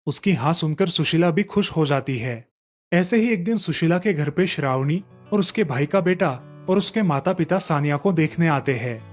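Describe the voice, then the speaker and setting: Male storybook narrator over soft music. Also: on the high side, animated, moderate pace. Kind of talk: scripted children's story narration.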